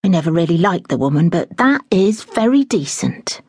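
A woman's voice: lowish voice, sing-song, moderate pace, darkish tone, slightly rough, no room sound, clean studio sound. A woman voicing a flustered, bossy middle-aged housekeeper, blunt and dramatic in manner.

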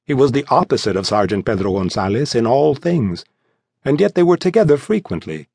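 A male narrator reads a scripted adventure story. His mid-pitched voice rises and falls in a lively, dramatic way. The recording is close and dry, with no room sound. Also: brisk, vocal fry, breathy edge, no room sound. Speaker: audiobook narrator. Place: dry studio.

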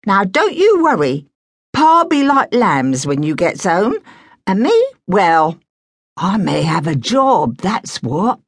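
A woman voicing a cheerful poor young London girl, bright and sing-song. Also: mid-range voice, big pitch swings, takes it slowly, dry recording, clean studio sound, voice pushed up, heavily acted.

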